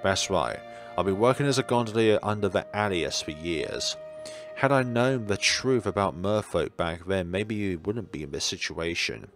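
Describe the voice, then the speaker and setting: A man's voice: low-pitched, normal speed, fairly bright tone, faint music behind. A man reading a visual novel's scripted narration and dialogue aloud. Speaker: video game player reading the story aloud.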